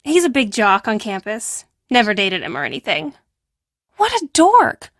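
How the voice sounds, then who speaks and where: A woman playing a brash, defensive teenage girl who works as a high school hall monitor in a video game, with a sassy, dismissive and emphatic delivery. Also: sing-song, normal speed, fairly bright tone, studio quality. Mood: scornful. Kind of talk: acted video game dialogue.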